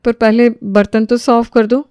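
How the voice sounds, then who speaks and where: A woman playing a proud, feisty housemaid in an audio story, argumentative and quick to talk back. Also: a little high, flat delivery, a little mellow, clean tone, no room sound, clean studio sound, close to an everyday voice. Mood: matter-of-fact. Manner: defiant, argumentative.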